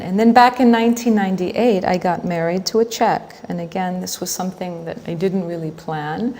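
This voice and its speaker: A woman in her forties from Washington, D.C., reminiscing in a documentary interview with soft hesitations. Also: on the low side, steady tone, normal speed. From Washington, D.C., USA.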